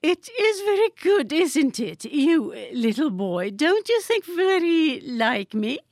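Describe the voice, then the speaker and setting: A woman's voice: high-pitched, very animated, slow pace, a little mellow, dry recording, studio quality, voice pushed up, exaggerated voice. A woman voicing a stout, elderly, haughty lady who speaks with gracious condescension in a storybook reading. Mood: condescending. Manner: gracious, patronizing, grand.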